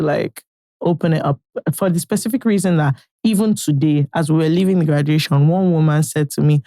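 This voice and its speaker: A young Nigerian woman co-hosting a casual podcast, talking with emphatic, animated energy. Her voice carries a gravelly edge, and the recording is close and dry. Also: deep voice, dry recording. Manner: animated, emphatic, swears and promises often. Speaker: podcast co-host. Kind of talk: casual chat.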